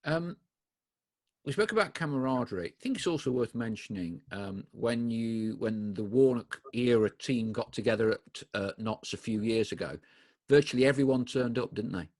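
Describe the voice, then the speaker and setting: A man's voice: mid-range voice, expressive, moderate pace, darkish tone. A man hosting a podcast, asking questions with tag endings and stumbling restarts. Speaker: podcast host. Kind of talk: interviewing, casual.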